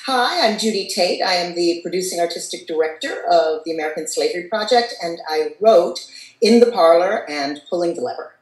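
Older woman, lowish voice, roomy call. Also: lively intonation, a little fast. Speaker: artistic director and playwright. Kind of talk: panel self-introduction. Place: home study. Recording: roomy video call sound.

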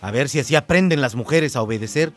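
A man's voice: medium pitch, animated, fast, soft background music, close to an everyday voice. An actor playing a smug, domineering village man in a radio soap opera.

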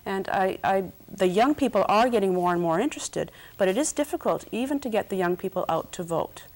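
A woman's voice: mid-range voice, expressive, brisk, a little mellow, poor audio. A middle-aged woman from Canada, a politician guest on a TV studio panel, speaking earnestly and often repeating words as she thinks. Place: TV studio set, indoors.

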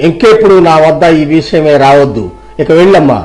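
A man's voice: on the high side, very animated, a little fast, a little mellow, soft background music. A man playing a self-righteous village employer who argues firmly and insistently in a dramatised moral story. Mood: stern. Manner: argumentative, insistent.

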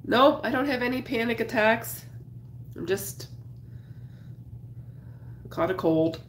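A woman in her thirties with a cold, raspy and congested, chatting casually with viewers on her home livestream while crocheting. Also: a little high, lively intonation, slow pace, reverberant, a little hiss or hum. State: congested, sore throat. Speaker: craft livestream host. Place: small home craft room, indoors.

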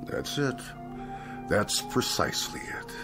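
Silky, animated devil salesman. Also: medium pitch, over music. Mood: obliging. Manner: silky, coaxing, theatrically drawn-out phrasing. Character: suave devil, a wheedling genteel salesman of bargains. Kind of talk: radio drama acting.

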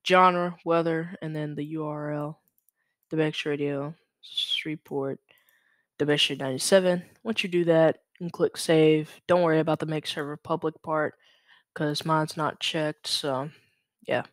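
A man's voice: a little high, steady tone, a bit bright, no room sound, clean studio sound. Hesitant young man giving a casual tutorial. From Louisiana, United States. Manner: hesitant, halting, self-correcting. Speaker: tutorial host. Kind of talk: casual, unscripted screen-share tutorial. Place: indoors at a computer. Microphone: USB microphone.

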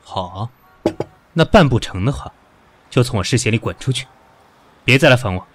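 A man's voice: medium pitch, animated, moderate pace, a bit bright, a little hiss or hum, natural voice. An actor playing a composed, sardonic, stern professional man in an audio drama, dry and commanding. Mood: stern, weary. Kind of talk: Chinese audio drama acting.

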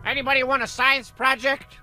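A man's voice: very high voice, little pitch movement, a little fast, pushed and bright, soft background music, exaggerated voice. A man voicing a small, mischievous, wisecracking imp in a video game cutscene, with theatrical exclamations. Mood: gleeful showmanship. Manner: theatrical exclamations and cheeky wordplay. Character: mischievous imp trickster from another dimension, small, excitable, wisecracking.

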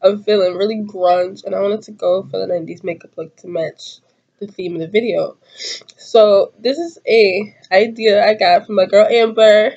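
A woman's voice: medium pitch, steady tone, normal speed, a little mellow, muffled recording. A woman in her twenties chatting casually to camera, a bit congested from a cold, giggly and rambling in slangy talk. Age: 20s. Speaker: YouTuber doing a tag video. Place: small room at home, indoors.

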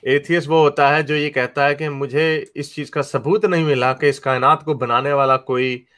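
A young man joins a debate over a webcam in a small indoor room. His voice sits slightly high. He lays out definitions calmly and exactly, then presses the other speaker with pointed questions. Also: moderate pace. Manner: calm, precise, pressing questions. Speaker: debate guest. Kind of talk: casual group video call debate. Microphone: webcam on video call.

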